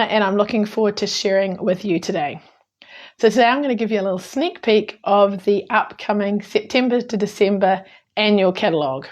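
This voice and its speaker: Croaky kiwi lady doing a card-making tutorial, chatty, lots of ums. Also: mid-range voice. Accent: New Zealand. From New Zealand. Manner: chatty, with frequent ums and small slips. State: hoarse. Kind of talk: casual crafting tutorial.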